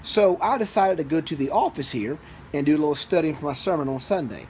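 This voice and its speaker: A man, a preacher and father, telling an improvised Bible story to a child in an animated, interactive way. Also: on the high side, quick pace. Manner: animated, interactive, checks on listener with questions. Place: small office in a house. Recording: noisy amateur home recording.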